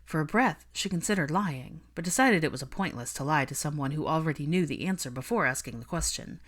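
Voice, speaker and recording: A woman's voice: low-pitched, expressive, fairly quick. A woman reading fiction aloud as an audiobook narrator, in a scripted storytelling style.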